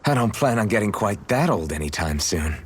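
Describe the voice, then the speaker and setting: An actor playing an easygoing, modest young swordsman with a gently teasing, casual way of talking in a video game cutscene. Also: lowish voice, big pitch swings, brisk, husky. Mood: friendly.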